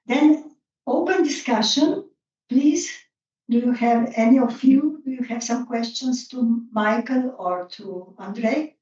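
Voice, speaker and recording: A woman's voice: a little high, slow, a little mellow. A woman moderating an open symposium discussion, speaking informally to the participants. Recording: very echoey, distant, slightly dull microphone.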